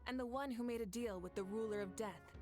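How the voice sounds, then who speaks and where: An actress voicing a confident woman leader in a game, calm and reassuring, with game music behind. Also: fairly high pitch, brisk, natural voice.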